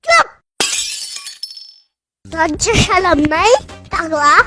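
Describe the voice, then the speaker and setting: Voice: extremely high pitch, big pitch swings, extremely slow, music in the background, heavily acted. A performer voicing a cheeky, bossy small child in a comic cartoon, scolding and teasing, with mispronounced words.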